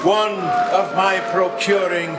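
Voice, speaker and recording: A man's voice: high-pitched, steady tone, slow pace. A man playing the mournful chained ghost of a dead businessman in a live radio play, with a solemn, theatrical delivery. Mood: solemn warning. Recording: roomy live stage with clear noise.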